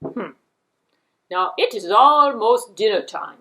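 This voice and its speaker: Woman playing a sly, emphatic storybook fox, slow and lively. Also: on the high side, slight background noise, heavily acted, poor audio. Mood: scheming. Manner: emphatic, drawn-out, formal phrasing. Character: sly, courteous but bossy male fox.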